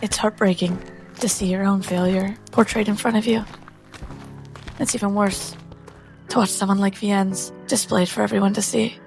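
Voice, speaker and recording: Creaky-voiced woman narrating her character. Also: mid-range voice, steady tone, moderate pace, faint music behind, rough recording. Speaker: player in a tabletop game. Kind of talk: dramatic third-person narration of her character.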